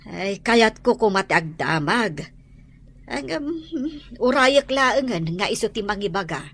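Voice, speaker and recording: A woman's voice: mid-range voice, animated, unhurried, fairly bright tone. A woman playing a worried middle-aged mother in an audio drama.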